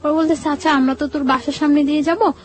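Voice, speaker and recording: High-voiced young woman telling her story. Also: fairly even intonation, quick pace. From Bangladesh, Barisal region. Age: young adult. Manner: repetitive, step-by-step recounting. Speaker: guest telling life story. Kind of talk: personal storytelling in an interview. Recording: music playing behind the voice. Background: background music.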